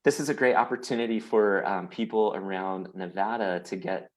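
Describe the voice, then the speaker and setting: A man's voice: fairly low pitch, moderate pace. A man hosting a casual online interview show. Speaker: host of a weekly chat show.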